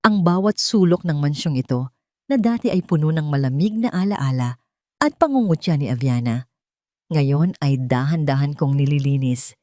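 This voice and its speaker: A woman's voice: low-pitched, warm tone, no room sound, studio quality. A woman reading a first-person dramatic story in a solemn, sentimental storytelling manner. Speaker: audio story narrator.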